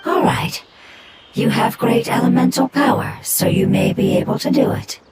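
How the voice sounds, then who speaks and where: A performer voicing a small forest spirit creature in a video game, who speaks in a formal, solemn, breathy way. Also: medium pitch, animated, normal speed. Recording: loud game soundtrack playing behind. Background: loud game soundtrack music.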